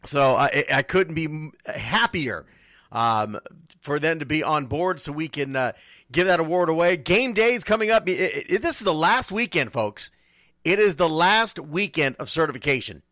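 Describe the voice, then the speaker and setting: A man's voice: a little high, animated, moderate pace, pushed and bright, dry recording. Casual male radio host, close dry sound. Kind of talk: casual sports radio talk.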